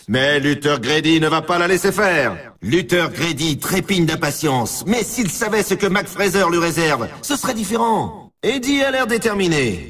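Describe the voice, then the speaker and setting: An excitable snowboard announcer from a video game, swooping widely through scripted race commentary. His voice has a rough, husky grain and sits slightly back from the microphone. He stretches words for showman-like drama. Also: high voice, far from the mic, full character voice.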